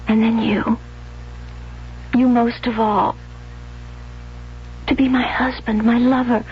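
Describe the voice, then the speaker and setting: Jealous, obsessive young bride-to-be, high voice, intense and pleading, 1970s radio thriller, muffled hissy tape. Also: female voice, vocal fry, exaggerated voice. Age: young adult. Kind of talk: 1970s radio drama acting. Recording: dull, noisy vintage radio recording.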